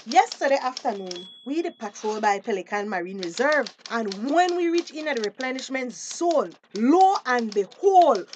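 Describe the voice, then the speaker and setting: A woman's voice: sits high, a little hiss or hum. A woman acting an outspoken, street-smart fisheries officer in a radio drama, telling things expressively in a Belizean Creole accent.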